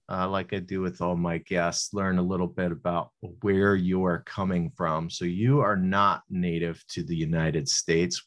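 A man with a low voice hosts an interview show. He speaks conversationally, as he would when walking a guest through questions about their background. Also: fairly bright tone. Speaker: podcast host interviewing guest.

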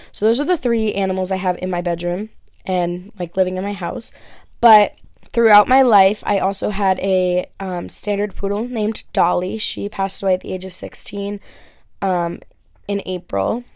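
Young woman podcast host, relaxed chatty delivery, dry close mic. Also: medium pitch, dry recording. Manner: chatty, lots of "like" and "um". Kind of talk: casual Q&A chat. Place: her bedroom.

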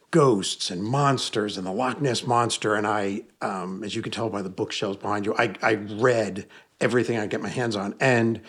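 A man's voice: on the low side, husky. A middle-aged man from the Hudson Valley of New York, a paranormal investigator and author, telling stories in a chatty, good-humored way with frequent restarts. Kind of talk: casual interview storytelling. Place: basement room with bookshelves.

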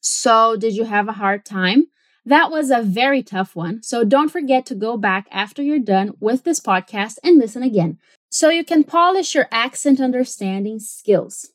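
Brazilian woman host, slightly high voice, dry close-mic podcast, clear teacherly explaining. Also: expressive, measured pace, no echo. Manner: clear, explanatory, switches between languages. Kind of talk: podcast language lesson.

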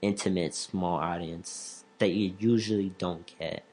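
A man's voice: low-pitched, steady tone, unhurried, a little mellow. A man who is a stand-up comedian and stutters, talking in a rambling casual way and laughing often. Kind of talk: casual podcast chat.

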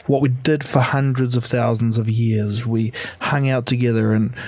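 A man's voice: mid-range voice, fairly even intonation, moderate pace, a little mellow, no room sound. A man, hosting a casual podcast, upbeat and musing, laughing easily. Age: 30s. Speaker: podcast host.

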